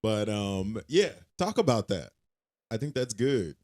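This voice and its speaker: Relaxed man with a lively voice. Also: lowish voice, a little slow. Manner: relaxed, agreeable, short replies. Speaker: podcast host. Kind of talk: casual chat.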